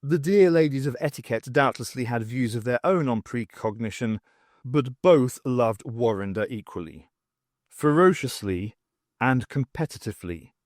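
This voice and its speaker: A man narrating a scripted fiction audiobook with expressive storytelling. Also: medium pitch, no room sound, studio quality.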